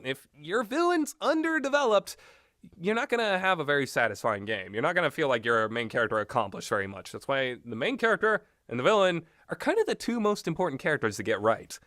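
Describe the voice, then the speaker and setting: A man's voice: fairly high pitch, bright, forceful tone, dry recording, studio quality. A man giving casual, rambling commentary in a blunt, opinionated way, swearing freely as he goes. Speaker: solo commentator on visual novels.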